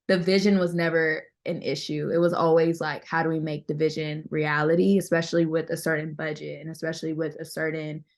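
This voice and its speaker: A woman with a deeper voice, chatting casually and candidly as an interview guest, often repeating herself for emphasis. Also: little pitch movement, a little fast, few pauses. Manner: candid, conversational, emphatic repetition. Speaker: interview guest, singer-songwriter. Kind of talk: casual chat.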